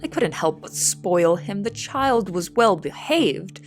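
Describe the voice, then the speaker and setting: A young woman playing a reserved, proud cook of nineteen, speaking in a measured, formal, literary manner in an audio drama. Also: mid-range voice, animated, soft background music. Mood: intense, observant. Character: reserved, proud, observant 19-year-old female cook. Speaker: voice actor and narrator. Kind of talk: dramatic first-person scripted narration.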